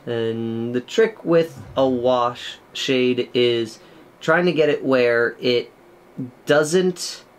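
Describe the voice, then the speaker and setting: A man's voice: medium pitch, very slow, clean tone. Chatty young man painting miniatures. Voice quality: loud, projected voice. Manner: rambling, chatty, many fillers. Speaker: miniature painting channel host. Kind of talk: casual tutorial commentary while painting. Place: small quiet room at home.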